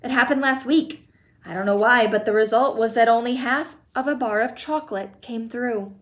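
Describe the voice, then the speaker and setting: Lady doing a fussy eccentric candy man, theatrical, exclaims a lot, a bit higher than her own voice, storybook. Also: normal speed, natural voice. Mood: fretful.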